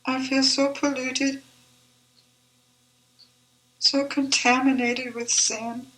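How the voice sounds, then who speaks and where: A woman reciting her own devotional poem in a measured, deliberate, reverent manner. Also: high-pitched, flat delivery, sharp tone, rough recording. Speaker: author reading own poem.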